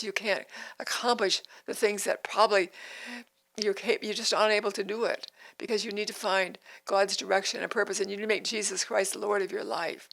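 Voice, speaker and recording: A woman's voice: mid-range voice, creaky, a bit bright, poor audio. A woman in her eighties, hosting a devotional podcast in an earnest, repetitive way with frequent restarts. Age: 80s. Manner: earnest, repeats phrases, restarts sentences. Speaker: podcast host.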